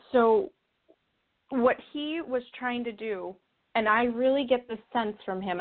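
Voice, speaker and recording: A woman interview guest explaining her views in an earnest, reflective, conversational way. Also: fairly high pitch. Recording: tinny narrow-band phone or call line.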